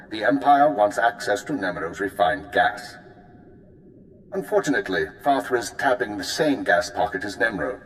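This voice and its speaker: An actor playing a composed intelligence handler giving a mission briefing in a video game, formal and clipped. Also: male voice, lowish voice, slight background noise, natural voice, rough recording. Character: cool, precise male intelligence handler.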